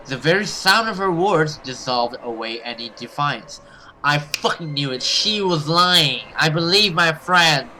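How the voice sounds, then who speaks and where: A man doing expressive let's play commentary and dramatic read-aloud of a horror visual novel as a gaming host. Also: mid-range voice, a little hiss or hum, rough recording. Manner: expressive, reactive, sweary.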